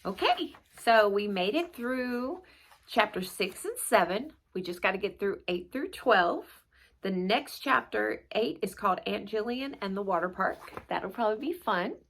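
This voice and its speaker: A woman hosting a read-aloud video, with an expressive, informal and playful way of talking. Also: fairly high pitch, a little hiss or hum. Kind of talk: expressive children's storybook narration and casual chat to viewers.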